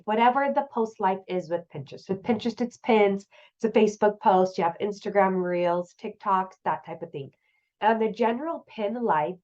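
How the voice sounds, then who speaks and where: A woman in her thirties hosts a casual tips show, chatty and upbeat as she talks straight to her viewers. Her voice sounds congested and nasal from a cold. The recording is a little dull and muffled. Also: medium pitch. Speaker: host. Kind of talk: casual online business tips.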